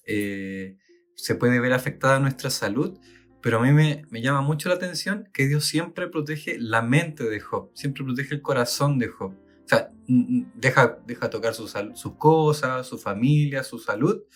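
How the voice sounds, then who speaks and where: A Chilean man co-hosting a podcast, talking thoughtfully with many fillers and reading passages aloud. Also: mid-range voice. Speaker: podcast co-host. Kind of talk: casual talk and reading aloud.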